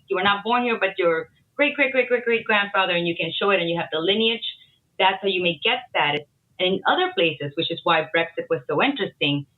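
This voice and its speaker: A woman immigration attorney, a podcast guest, explaining immigration systems in a measured, opinionated way. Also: on the low side. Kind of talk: casual podcast interview. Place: Miami. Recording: tinny phone-like line.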